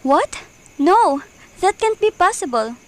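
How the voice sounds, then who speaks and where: A woman's voice: extremely high pitch, very animated, slow pace, exaggerated voice. A woman playing an anxious, lovestruck young girl in an amateur radio drama. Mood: shocked.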